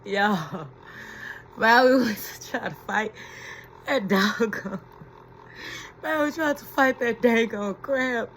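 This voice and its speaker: A woman's voice: fairly high pitch, lively intonation, extremely slow, long pauses, fairly bright tone, a little hiss or hum. A woman giving a reflective inspirational talk as a spiritual healing coach, repeating key phrases and laughing as she retells a story.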